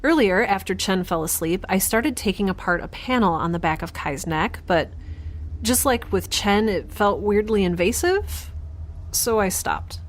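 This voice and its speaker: A woman's voice: medium pitch, animated, measured pace. A woman playing a weary, overwhelmed young scientist in a science fiction audio drama, speaking a hesitant, wry and confiding diary monologue. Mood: weary, brooding. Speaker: narrator and lead of an audio drama.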